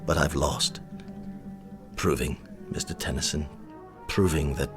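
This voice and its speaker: A man playing a proud, aristocratic colonel in a radio drama, bitter and brought low, speaking in a formal, halting, pompous way. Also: fairly even intonation. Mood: bitter, humbled. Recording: music playing under the voice.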